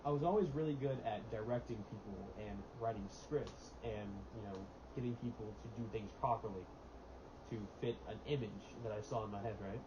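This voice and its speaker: A teenage boy talking casually on a student podcast about his plans, relaxed and chatty, with plenty of fillers. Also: fairly low pitch, brisk. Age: teens. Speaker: podcast co-host. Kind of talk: casual chat. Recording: dull lo-fi mic with steady hiss.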